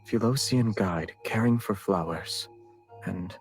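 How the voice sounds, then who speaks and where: An aloof, ageless man from a fantasy romance game, with a very deep voice. He speaks in cold, clipped, detached lines, brooding and sparing with words. Music plays faintly underneath. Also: takes it slowly. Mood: cool, detached. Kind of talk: video game voice acting.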